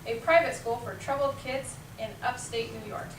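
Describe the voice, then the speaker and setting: Female reader's theater narrator, crisp and bright, picked up from a distance with faint noise. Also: a little high, steady tone, normal speed. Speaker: teacher, narrator. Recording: echoey room, distant mic, faint noise.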